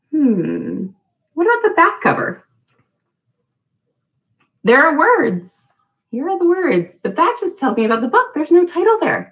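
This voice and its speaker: A woman's voice: high voice, fairly bright tone, low-quality recording. Woman, thirties, preschool storytime teacher, encouraging, simple questions for little kids. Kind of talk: children's storytime lesson with songs. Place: small room at home.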